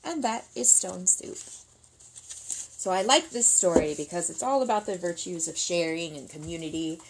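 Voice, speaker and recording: A woman's voice: on the low side, expressive, moderate pace, background noise. A middle-aged woman reading a picture book aloud expressively as a storytime reader, indoors at a table.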